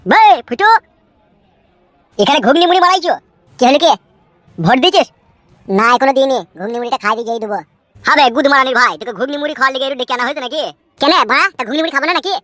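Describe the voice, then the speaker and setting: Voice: sits high, animated, rapid-fire, pauses often, a bit bright, no echo. A performer with a female-sounding voice playing a brash, foul-mouthed cartoon villager who argues in crude slang. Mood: irritated, bickering. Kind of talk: comic cartoon dialogue.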